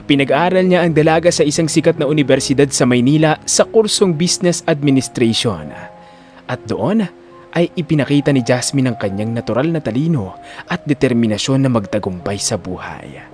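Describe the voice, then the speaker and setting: Guy narrating audio drama story, expressive swings in pitch, faint music under him.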